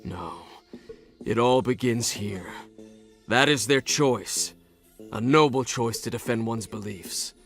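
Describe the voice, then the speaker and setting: Vengeful male warrior, terse and determined, mid-pitched, game cutscene over faint music. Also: slow pace, creaky, fairly bright tone. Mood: grim resolve. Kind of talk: video game cutscene acting.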